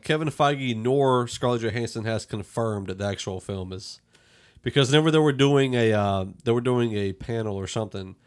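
A man hosting a podcast, chatting casually about films and thinking aloud as he goes. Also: medium pitch. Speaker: podcast host. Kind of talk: casual film review chat.

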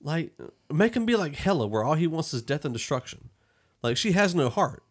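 A man's voice: a little high, big pitch swings, normal speed, husky. Man chatting as a podcast host. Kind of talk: casual movie review chat.

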